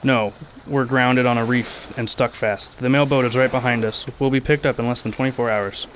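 A man's voice: mid-range voice, steady tone, moderate pace, fairly bright tone, close to an everyday voice. A man playing a blunt, principled, commanding ship passenger in a radio drama, with noticeable noise behind him. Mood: stern, assured. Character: terse, principled, take-charge male ship passenger.

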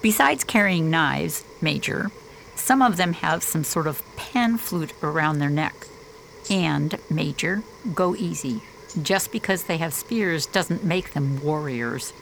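A woman's voice: low-pitched, animated, slow, creaky, fairly bright tone, slight background noise, heavily acted, poor audio. A woman playing an assertive, skeptical psychologist in a science fiction radio drama, with a dry, argumentative manner. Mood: earnest, cautioning.

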